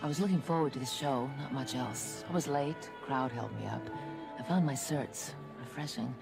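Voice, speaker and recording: A woman's voice: a bass voice, moderate pace, gravelly. An actress playing a single woman confiding a romantic chance meeting in a clipped radio commercial narration over music. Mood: confiding, pleased. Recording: loud music under voice in advert.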